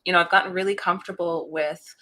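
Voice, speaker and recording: A woman guest in a casual interview, talking about her work with a relaxing voice and an animated, laughing way of telling stories. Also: fairly low pitch, fast talker. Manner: warm, animated storyteller who laughs often. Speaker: interview guest, voice actor and creative director.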